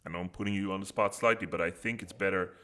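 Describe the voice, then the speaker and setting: A man's voice: low voice, fast talker. A man co-hosting a podcast, chatting casually and telling stories with lots of laughter, recorded in a wooden cabin. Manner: animated, laughing storyteller. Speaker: podcast co-host. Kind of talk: casual chat. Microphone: close studio mic.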